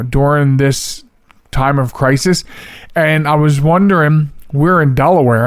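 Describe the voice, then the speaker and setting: Higher-pitched American man, dry close sound. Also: clean tone, dry recording. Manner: rambling, self-correcting. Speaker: podcast host. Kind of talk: casual solo podcast chat.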